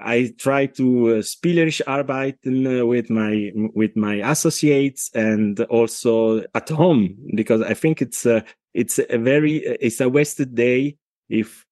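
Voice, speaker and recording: A middle-aged man with an Italian accent, a consultant speaking as a podcast guest, talking in a halting way with many restarts. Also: medium pitch, darkish tone, no room sound, studio quality. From Italy. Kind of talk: casual interview talk.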